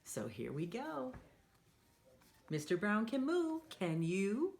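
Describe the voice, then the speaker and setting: A woman's voice: mid-range voice, takes it slowly. A woman hosting a read-aloud storytime for young children, speaking in a playful, encouraging way. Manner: animated, encouraging, asks listeners to join in; playful, acts out sound effects.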